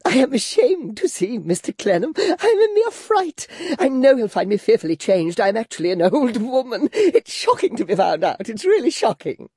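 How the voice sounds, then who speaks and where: A man's voice: very high voice, sing-song, moderate pace, few pauses, warm tone, husky, dry recording, studio quality, pitched above the performer's own voice, full character voice. A man putting on a gushing, giddy chatterbox of a woman. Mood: gushing, flustered. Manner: breathless nonstop rambling, giggling, flustered. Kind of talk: audiobook character voice.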